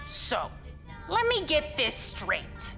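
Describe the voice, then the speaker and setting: A woman's voice: high-pitched, huge pitch swings, slow pace, gravelly, fairly bright tone, faint music behind, full character voice. A woman playing an incredulous, sardonic crypt colleague in a comic horror audio drama, speaking pointedly. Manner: pointed, incredulous, piling up adjectives.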